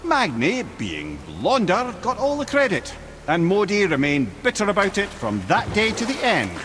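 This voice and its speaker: An actor playing a wise, wry old talking head with a Scottish accent, telling tales theatrically in a video game. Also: male voice, sits high, wildly swooping pitch, normal speed, a bit bright, full character voice. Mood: wry, knowing. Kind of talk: dramatic storytelling in a video game. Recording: game audio with clear water and boat noise.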